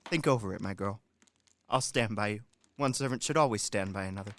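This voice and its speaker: A man's voice: fairly low pitch, brisk, fairly bright tone, no echo. A man in a radio play acting a shrewd, self-important manservant who coaxes and lectures with emphasis. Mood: lecturing. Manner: wheedling, instructing, emphatic.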